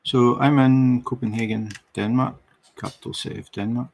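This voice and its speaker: A man's voice: medium pitch, gravelly. A middle-aged man from Scotland with a Scottish accent, chatting casually with viewers on a crafting livestream. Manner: relaxed, unhurried, chatty with viewers. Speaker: diamond painting livestream host.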